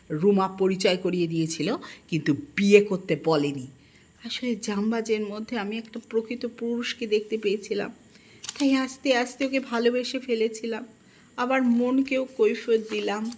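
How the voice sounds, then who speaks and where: A woman reads a first-person literary story in an expressive, melancholic and dramatic manner. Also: fairly high pitch. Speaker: audiobook narrator.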